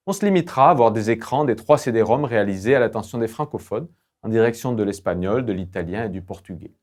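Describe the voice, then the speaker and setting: A male lecturer delivers scripted instructional narration in a mid-pitched voice. His manner is formal and didactic, with measured, academic phrasing. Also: darkish tone. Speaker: lecturer presenting language-learning tools.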